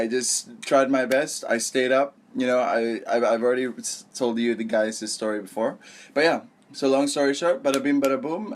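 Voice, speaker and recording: A young man from Malaysia who was raised abroad, talking casually and in a rambling, informal way as a vlogger telling his story. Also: medium pitch. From born in Malaysia, raised abroad. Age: young adult. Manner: rambling, informal, self-correcting, peppered with "you know" and "like". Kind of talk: casual storytime vlog chat.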